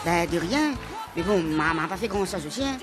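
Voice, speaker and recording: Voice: mid-range voice, expressive, fairly quick, far from the mic, close to an everyday voice. An actor playing a rustic, cheeky alien creature with a Réunion Creole accent, rambling in slang in a comic radio serial, with music underneath. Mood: grumbling.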